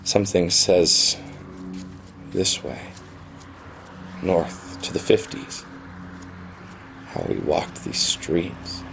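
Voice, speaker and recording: An actor playing an obsessive, wistful programmer in love, in a radio play. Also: male voice, low-pitched, fairly even intonation, drawn-out words, vocal fry, pauses often, noisy background, full character voice. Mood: wistful, brooding.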